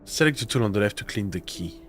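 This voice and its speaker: Young male streamer, sick with a cold, congested and scratchy, chatty and lively in pitch. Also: quick pace, soft background music. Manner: chatty, swearing, self-mocking, with filler sounds. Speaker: streamer playing a video game. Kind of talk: casual live-stream chat.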